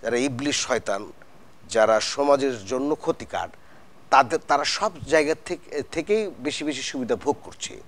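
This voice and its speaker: A man with a Bangladeshi accent and a medium-pitched voice speaks as a talk show guest. He is earnest and argumentative, laying out his opinions in a conversational discussion. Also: lively intonation, normal speed, a bit bright. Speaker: actor guest.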